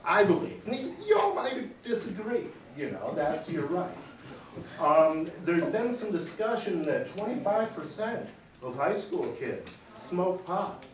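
Loud, forceful male witness, forties, off the cuff; distant camera mic, echoey hearing room. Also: high-pitched, big pitch swings, slow, slight background noise. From Michigan. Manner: emphatic, gesturing, pounds the table. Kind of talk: unscripted testimony. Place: large wood-panelled hearing room. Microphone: far camera.

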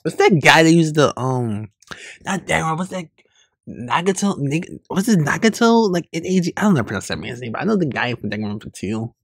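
A man reading a webcomic aloud as a host, excitable, with casual asides and stumbles over hard names. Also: a little high, fairly quick, dry recording, clean studio sound. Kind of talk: reaction read-along with casual asides.

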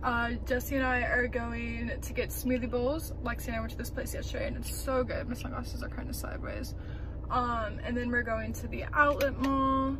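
A woman's voice: a little high, flat delivery, moderate pace, noisy background. A young woman chatting to her phone camera as she narrates her day, giggly and casual. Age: young adult. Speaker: vlogger. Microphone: phone held at arm's length.

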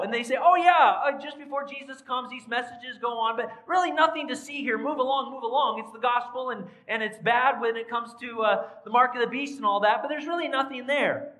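Energetic preacher man, bright voice. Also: high voice, rough recording. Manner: animated, pacing the stage with sweeping gestures; swings from soft asides to loud emphatic declarations. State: tired after a recent cold. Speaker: pastor. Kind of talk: sermon. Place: large church sanctuary, indoors.

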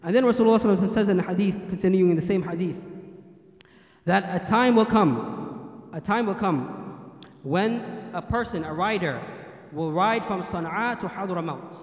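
Brisk, high-voiced imam in an echoey hall. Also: male voice. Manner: quotes Arabic hadith then translates into English. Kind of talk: Friday sermon. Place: mosque hall, indoors. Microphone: standing mic on a stand.

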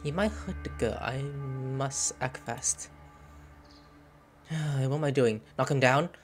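A man's voice: mid-range voice, slow pace, faint music behind. A man giving casual, joking commentary while playing a game. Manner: joking, excitable, talks to himself. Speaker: gamer playing the quest. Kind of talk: casual let's-play commentary.

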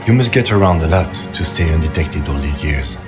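Deep-voiced, probing police investigator. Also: male voice, animated, a little fast. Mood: probing, polite. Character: polite, probing police investigator questioning a suspect. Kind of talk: video game dialogue. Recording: music under the voice. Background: background music.

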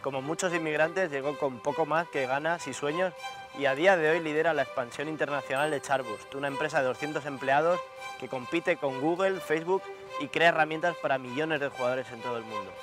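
Man host over clear background music. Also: on the high side, fairly quick. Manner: warm-toned questions, frequent short backchannels. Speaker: interview host. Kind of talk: scripted intro, then conversational interview. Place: indoor office lounge.

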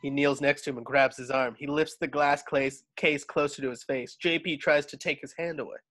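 A man's voice: fairly high pitch, fairly even intonation, fairly quick, fairly bright tone. A man narrating scene directions from a script at an informal online table read. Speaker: narrator reading stage directions. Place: indoors at home on a video call. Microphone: video call.

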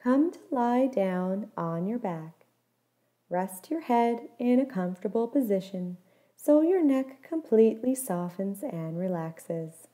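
A woman leading a guided body scan meditation, giving gentle, measured instructions and letting her phrase endings fall softly. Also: medium pitch, expressive.

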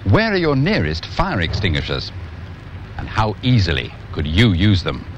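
A British man in his fifties presenting a scripted instructional training film in a measured, instructive manner. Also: deep voice, big pitch swings. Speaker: presenter and narrator of a fire safety training film. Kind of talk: scripted instructional narration to viewer. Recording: muffled, noisy 1980s 16mm film soundtrack.

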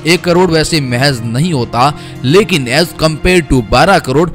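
A young man reading a scripted cricket news voice-over in a brisk, emphatic way, with asides to viewers. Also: on the high side. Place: voice-over, not in pictured place. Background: faint background music.

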